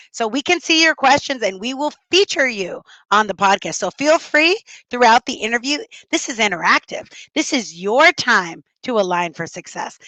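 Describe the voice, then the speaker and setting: A middle-aged woman hosting a livestream podcast, upbeat and motivational, her voice a little hoarse and raspy. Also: a little high, bright, forceful tone, no room sound, rough recording. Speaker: podcast host.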